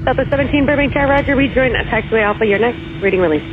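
A man working as an air traffic controller, giving brisk radio phraseology over an aviation radio. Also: very high voice. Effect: aviation radio transmission. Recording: tinny narrowband radio with clear noise.

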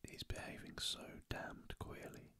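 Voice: unhurried, bright, forceful tone. A reader whispering the part of a blunt, grave male secretary in an audiobook. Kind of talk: ASMR audiobook reading.

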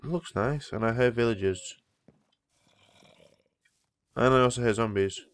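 A man's voice: mid-range voice, a little slow, a little hiss or hum. A man who is ill, rambling casually through gameplay commentary and easily impressed by what he sees. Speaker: Minecraft let's player.

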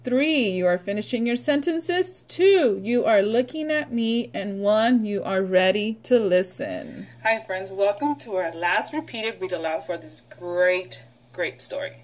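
A middle-aged woman, a preschool teacher, leading a read-aloud vocabulary lesson in an instructional way, pausing for children to repeat. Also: mid-range voice, animated. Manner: instructional, prompting with pauses for repetition.

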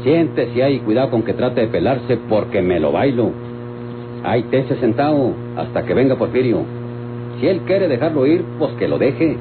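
An actor playing a stubborn, mocking country guard in an old radio drama, with a folksy rural Mexican accent. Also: male voice, mid-range voice, sing-song, normal speed, background noise, lightly acted. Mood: stern. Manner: folksy, repeating commands, scoffing. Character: stubborn rustic male guard, gruff and mocking. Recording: muffled old radio recording over music.

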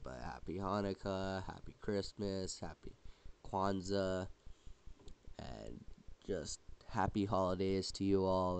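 A young man hosting a casual holiday vlog while sick with a cold, coughing now and then and rambling with halting restarts. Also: deep voice, creaky, darkish tone, smooth. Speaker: channel host.

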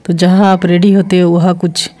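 A woman's voice: on the low side, little pitch movement, moderate pace, warm tone. A woman recording a casual home vlog about a product, chatting in a rambling way with many restarts and English words mixed in. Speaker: vlogger reviewing a product. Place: small room at home, indoors.

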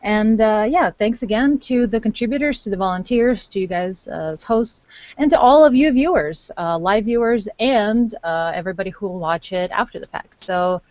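A woman's voice: medium pitch, animated, normal speed, darkish tone, dry recording. A woman hosting a casual podcast, rambling with many fillers and restarts over a video call. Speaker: podcast host. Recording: dull, dry lo-fi call audio.

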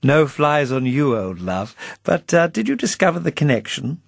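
A man's voice: fairly high pitch, big pitch swings, moderate pace, creaky, dry recording, clean studio sound, pitched above the performer's own voice, full character voice. A man voicing a chipper, suave English spymaster in a storybook, with jaunty upper-class patter and drawled endearments. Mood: breezy, amused. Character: chipper, suave British spymaster, breezy and affable.

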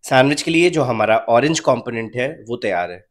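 A young man calmly narrating a home cooking recipe step by step as the channel's host. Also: medium pitch, fairly quick. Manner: calm, step-by-step instructional.

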